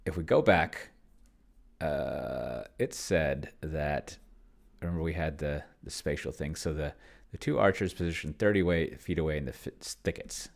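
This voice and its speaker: Very deep-voiced man thinking out loud. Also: measured pace, gravelly. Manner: rambling, musing to himself as he works. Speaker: solo tabletop role-player and host. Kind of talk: casual think-aloud gameplay commentary.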